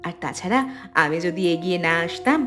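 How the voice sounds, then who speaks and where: A woman's voice: on the low side, lively intonation, a little fast, over music, lightly acted. A woman playing a protective elder sister-in-law in a cartoon melodrama, firm and reassuring. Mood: gentle, regretful.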